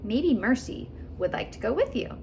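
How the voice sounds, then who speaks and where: A woman's voice: on the high side, sing-song, normal speed, vocal fry, dull audio, voice pushed up. An obliging, slightly flustered neighbor lady from a storybook, acted by a woman reader, in a raised, lilting voice. The recording has noticeable noise. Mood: flustered, polite.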